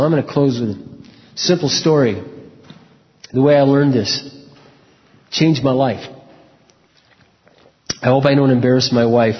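A man preaching a sermon and telling personal stories in a frank, conversational way, often clearing his throat. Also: mid-range voice. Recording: echoey hall, dull lo-fi sound.

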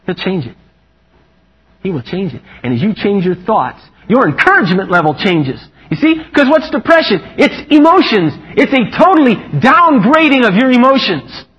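A man preaching a lively, humorous sermon, animated and playful, acting out quotes in a higher voice. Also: moderate pace, breathy edge. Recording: muffled lo-fi recording.

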